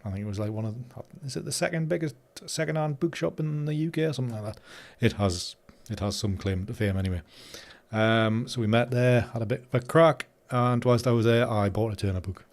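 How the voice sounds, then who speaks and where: A man's voice: mid-range voice, brisk. A man hosting a live stream, chatting casually and rambling while he edits photos, often laughing and answering comments from chat. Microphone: new studio microphone.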